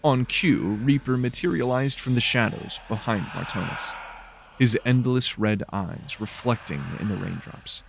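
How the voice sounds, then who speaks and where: A man's voice: mid-range voice, unhurried, gravelly, background noise. A man narrating scripted horror fiction in a dramatic storytelling style.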